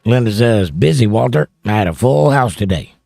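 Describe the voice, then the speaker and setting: A man's voice: lowish voice, animated, normal speed, vocal fry, mellow, dry recording. A man hosting a casual livestream, reading viewer comments aloud and chatting on about them into a close microphone. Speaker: host reading live chat. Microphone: close studio mic, held near the mouth.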